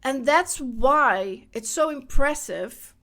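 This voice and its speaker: High-voiced woman, animated monologue. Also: slow. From Netherlands. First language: Dutch. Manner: animated, repeats phrases for emphasis. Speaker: podcast host. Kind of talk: casual spiritual monologue.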